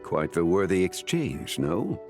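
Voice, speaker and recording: A courteous, scholarly gentleman of a fantasy game. His low voice is formal and deliberate yet richly inflected, with soft music underneath. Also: very animated. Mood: courteous, gracious. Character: composed, erudite gentleman connoisseur, courteous and measured. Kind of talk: video game voice acting.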